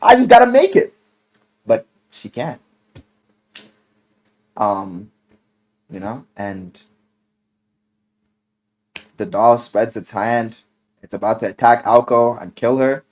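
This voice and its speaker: A man giving a casual, enthusiastic recap of a story, animated and slangy, swearing freely and acting out the quotes. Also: medium pitch, slow pace, pauses often. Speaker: video game and visual novel reviewer. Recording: music playing behind the voice. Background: music.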